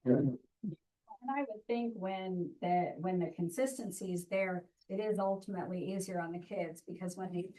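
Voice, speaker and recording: Soft-spoken woman at a meeting. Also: lowish voice, moderate pace, low-quality recording. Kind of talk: meeting discussion.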